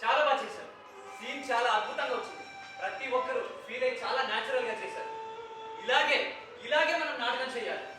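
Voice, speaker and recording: A man's voice: very high voice, quick pace. Man acting a defiant young village director. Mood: rousing. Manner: earnest, defiant, rousing. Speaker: stage actor. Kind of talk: live stage play acting. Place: theatre stage. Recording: echoey hall with music, distant mic.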